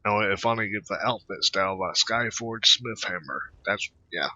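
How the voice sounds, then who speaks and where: A man's voice: on the low side, moderate pace, sharp tone, highs cut off. A man giving casual, rambling game commentary, often repeating words and trailing off, into a close microphone. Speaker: gaming video host.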